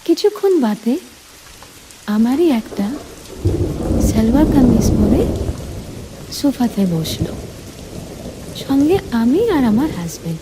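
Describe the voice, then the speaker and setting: A woman narrating a scripted romantic story in the first person, deliberately, in short phrases. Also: on the high side, big pitch swings, heavy background noise.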